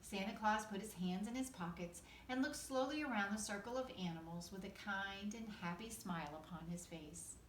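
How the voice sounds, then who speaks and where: A woman's voice: mid-range voice, normal speed, few pauses. A woman teacher reading a children's storybook aloud with expression. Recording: echoey room, distant mic, faint noise.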